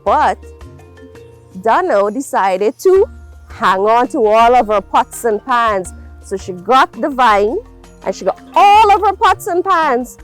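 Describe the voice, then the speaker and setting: A woman telling a children's folktale in a dramatic way, repeating words for emphasis. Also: sits high. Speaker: storyteller. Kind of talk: animated children's folktale storytelling.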